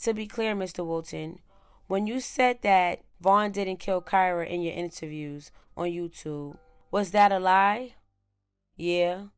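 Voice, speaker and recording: A woman's voice: lowish voice, a little slow, smooth, faint music behind. A woman questioning a witness in court as a prosecutor, in a formal and methodical way.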